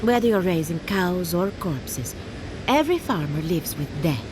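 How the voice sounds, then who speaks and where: A cheerful, eccentric necromancer who farms the undead for a fantasy game. Her delivery is theatrical and breezy, swinging widely in pitch, doting yet matter-of-fact about gore. There is clear noise behind her. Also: very animated, normal speed. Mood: fond, resigned. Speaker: quest-giving farmer. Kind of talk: video game voice acting.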